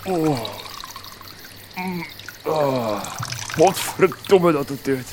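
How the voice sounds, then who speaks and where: An actor playing a boozy, lecherous village farmer with a Flemish accent, tipsy and rambling in a radio comedy drama. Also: male voice, a little high, drawn-out words, noisy background. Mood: drunken, leering.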